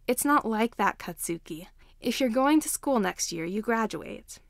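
A woman voicing a gentle, reluctant male daycare teacher in a story, soft-spoken and calm. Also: on the high side, unhurried, gravelly, no echo, studio quality.

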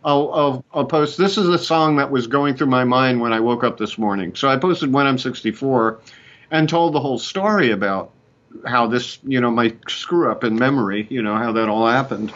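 A man's voice: mid-range voice, normal speed, lo-fi sound. A man in his sixties, a musician, chatting and telling stories on a headset over a video call from a room at home. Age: 60s. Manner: animated, chatty storyteller, laughs often. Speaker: musician and co-host. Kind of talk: casual conversation on a video call.

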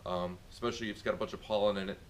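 A middle-aged man with a lowish voice walks through a beekeeping chore outdoors, rambling and restarting his sentences as he goes. He is picked up by a camera mic in a farmyard, with faint outdoor ambience behind him. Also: steady tone, racing, a little hiss or hum. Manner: rambling, many fillers and restarts. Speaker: beekeeper showing a how-to. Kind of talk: casual how-to demonstration.

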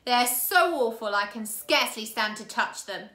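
Storybook villain: woman doing a scratchy, bullying count, loud, echoey room. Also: animated, normal speed. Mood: menacing. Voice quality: scratchy, booming. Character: menacing scratchy-voiced male villain count.

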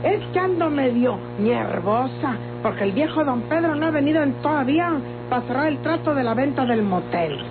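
An actress playing an agitated, secretive country daughter in an old radio melodrama, with rustic speech and a Mexican accent. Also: female voice, high voice, animated, moderate pace. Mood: nervous, evasive. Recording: tinny old radio recording, loud music.

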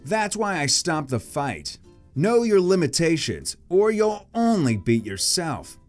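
A man's voice: a little high, big pitch swings, slow, faint music behind, voice pushed up. A man voicing a smug, aloof rival trainer boy in a comic manga reading. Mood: condescending.

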